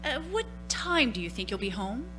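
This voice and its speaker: A woman plays a nervous, faltering suburban mother in an old-fashioned radio drama. Her voice sits fairly high and swings expressively, with slow delivery, and music plays clearly behind her. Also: big pitch swings, a bit bright. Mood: nervous, evasive. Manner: hesitant, faltering, theatrical. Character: anxious 1940s-style suburban housewife and mother. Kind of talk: stage radio-play acting.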